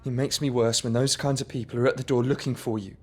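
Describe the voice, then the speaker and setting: A man's voice: medium pitch, fairly even intonation, fast, breathy edge, lightly acted. A man, playing a troubled, anxious and paranoid young man in a radio drama. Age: 20s. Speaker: young man with schizophrenia, narrator of his story.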